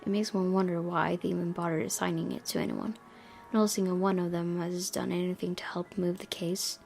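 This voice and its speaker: A woman's voice: fairly low pitch, fairly even intonation, a little fast, vocal fry, a little mellow, faint music behind. A woman game streamer reading visual novel narration aloud expressively, dropping in her own asides.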